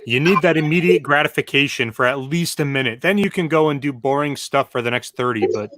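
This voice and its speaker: A man chats as a panelist in a casual discussion. His voice sits at a medium pitch and he states opinions with emphasis. The recording is dry, with little room sound. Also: a little fast, a bit bright, no room sound.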